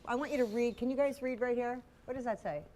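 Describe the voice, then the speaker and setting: A woman's voice: a little high, quick pace, dark tone. A woman presenting on a home shopping show, speaking in an insistent, directive way.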